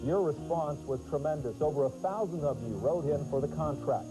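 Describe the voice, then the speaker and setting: A man reading a news station community promo in an earnest, measured way. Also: fairly high pitch, over music, rough recording. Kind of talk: scripted promo read.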